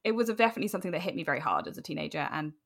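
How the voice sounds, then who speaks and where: A woman's voice: low-pitched, animated, racing, vocal fry. A British woman in her late twenties chatting casually and candidly as an interview guest, in an informal way, often acting out remembered remarks. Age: late 20s. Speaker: podcast guest, cosplayer and writer.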